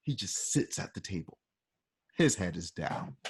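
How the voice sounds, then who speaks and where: Brief: playful male narrator, husky, lively pitch, slow and chatty. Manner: chatty asides, laughing into lines. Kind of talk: casual, playful radio drama narration.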